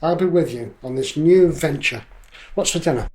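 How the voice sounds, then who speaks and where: A man's voice: on the high side, normal speed, darkish tone, natural voice. Actor as a mild, polite supportive man. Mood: agreeable. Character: mild, supportive young man home from office work. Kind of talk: radio drama acting, domestic dialogue.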